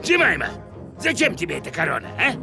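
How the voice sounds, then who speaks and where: A woman voicing a sly, grumbling cartoon porcupine thief with a gravelly, theatrical, wheedling delivery. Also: low-pitched, huge pitch swings, fast talker, full character voice. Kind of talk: animated children's cartoon acting. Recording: music behind the voice.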